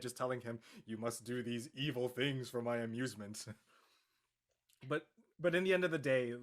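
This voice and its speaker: A man's voice: mid-range voice, fairly bright tone. A man on a casual discussion panel, talking earnestly and emphatically in a rambling way. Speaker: actor guest on a web show panel. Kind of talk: casual chat.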